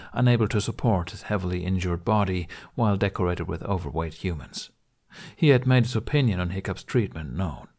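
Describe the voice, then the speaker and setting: Guy narrating an audiobook, dramatic story reading, voice a bit on the low side. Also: a little mellow.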